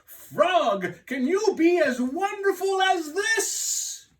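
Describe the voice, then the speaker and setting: A man voicing an excitable, vain and anxious storybook toad, exclaiming and pleading in drawn-out cries. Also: very high voice, distant mic, voice pushed up, exaggerated voice. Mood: boastful. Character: excitable, vain, anxious male toad, showing off then panicking.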